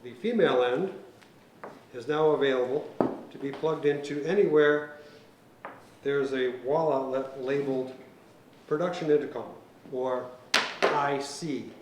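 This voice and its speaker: A male instructor walks through technical equipment in an informal, methodical way. He speaks in a mid-range voice and often pauses mid-sentence. The room is echoey, with faint noise behind him. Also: medium pitch. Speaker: instructor giving an equipment tutorial. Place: indoor set room by a theater stage. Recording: roomy echo with faint background noise.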